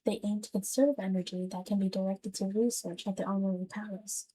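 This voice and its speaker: A woman's voice: mid-range voice, steady tone, brisk, warm tone, a little hiss or hum, poor audio. A woman streamer giving casual video game commentary and reading game text aloud. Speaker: virtual streamer playing a game.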